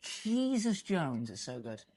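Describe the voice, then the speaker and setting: A man's voice: fairly high pitch, sing-song, slow pace, darkish tone. Chatty English man telling stories. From England. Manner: chatty storyteller, swears, acts out quoted dialogue. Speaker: musician guest. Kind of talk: casual interview chat.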